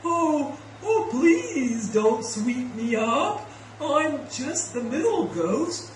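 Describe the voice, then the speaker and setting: A woman voicing a silly, dopey ghost in a puppet show, with a goofy, halting delivery. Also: high-pitched, sing-song, pitched above the performer's own voice, exaggerated voice. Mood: nervous pleading. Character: silly, dopey, talkative bigger brother ghosts. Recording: echoey, distant, faintly noisy room.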